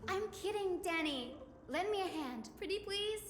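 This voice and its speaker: A woman's voice: very high-pitched, normal speed. An actress playing a playful, teasing and knowing young woman in a video game. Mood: coy teasing. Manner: teasing, expressive, coy. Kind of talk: video game story dialogue. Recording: echoey long reverb, music behind.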